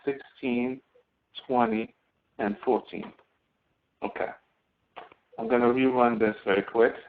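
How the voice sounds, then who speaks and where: A man's voice: medium pitch, big pitch swings, slow, creaky, a little hiss or hum. A man instructing in a screen-recorded tutorial, explaining step by step and thinking aloud as he works. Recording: tinny phone-like narrow-band audio.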